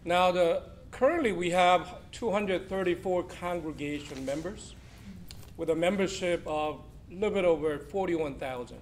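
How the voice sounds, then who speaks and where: A man's voice: high voice, normal speed, slight background noise. Male church official, big projecting voice, chatting with a congregation through a weak mic. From South Korea. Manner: loud, projecting, chatty with the audience. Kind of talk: informal talk to a congregation. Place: church hall with a crowd. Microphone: weak room microphone.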